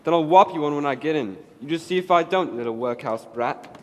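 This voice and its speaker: A man's voice: expressive, fairly quick, big-room sound, heavy background noise, close to an everyday voice. An actor playing a bullying young male undertaker's apprentice, taunting and sneering in a radio drama. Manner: sneering, taunting, shrill when frightened.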